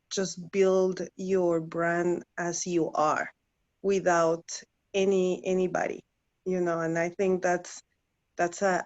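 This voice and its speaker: A woman in her thirties from Guatemala, a native Spanish speaker, chatting as an interview guest with many halting restarts. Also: on the low side, darkish tone, lo-fi sound. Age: 30s. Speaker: interview guest, former client. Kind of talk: casual interview chat.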